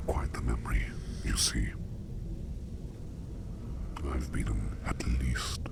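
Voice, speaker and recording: A man playing a curious, enigmatic sleep demon in an ASMR roleplay, whispering slowly in formal, musing phrases with a gravelly creak. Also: heavily acted. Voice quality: breathy whisper over very deep gravelly creak.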